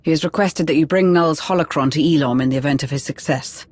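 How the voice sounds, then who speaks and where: An actress playing a cool, calculating imperial intelligence officer in a video game cutscene, speaking in a formal, precise way. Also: female voice, low voice, fast, a little mellow. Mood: composed briefing.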